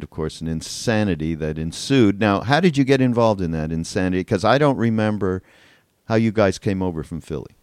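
A man's voice: on the low side, a little mellow, clear, resonant tone, no room sound, studio quality. An older man hosting a podcast, reminiscing in a casual, rambling and informal way. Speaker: podcast host.

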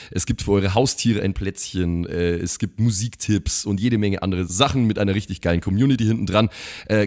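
A man's voice: fairly low pitch, darkish tone. A man hosting a podcast, giving listeners announcements in an informal, slangy, colloquial way. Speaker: podcast host.

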